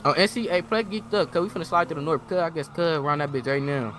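American man, rapid slangy speech, profane, urgent, gang roleplay chat, sirens and traffic noise behind. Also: fairly high pitch, fairly bright tone. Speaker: online roleplay player.

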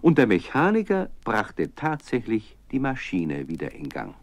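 Male narrator for children's radio play: low, very animated intonation, dry sound. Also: measured pace, dry recording.

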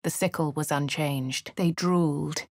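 An actress with a posh English accent playing a composed, wry female adventurer in measured narration. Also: deep voice, steady tone, a little mellow, no room sound, clean studio sound. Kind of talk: game character voice lines.

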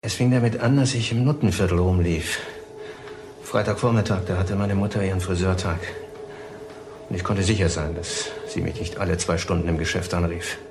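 Very deep-voiced haunted killer confessing. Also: male voice, fairly even intonation, background noise. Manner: halting, deliberate confession. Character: confessing middle-aged serial killer, tormented by his mother. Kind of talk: German crime radio drama acting. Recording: music underneath the voice.

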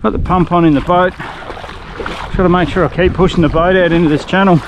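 A relaxed Australian man with a slightly high voice chats casually while fishing from a small boat. He talks to an action camera and swears now and then. Faint outdoor noise and water sounds sit behind him. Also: brisk. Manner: laid-back, chatty, swears casually. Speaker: fishing vlogger.

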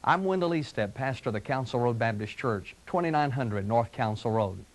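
A middle-aged man, a pastor, speaking in an earnest, gentle, inviting tone in scripted television ads from the 1980s. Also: mid-range voice, lively intonation, normal speed, rough recording. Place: studio sets and church rooms, indoors. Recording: 1980s local TV ad audio.

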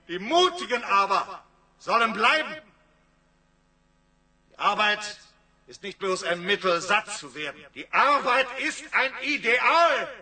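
High, forceful rallying leader. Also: male voice, distant mic, full character voice. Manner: declamatory, emphatic. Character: defiant young engineer leader rallying striking workers. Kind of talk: impassioned oratory in radio play.

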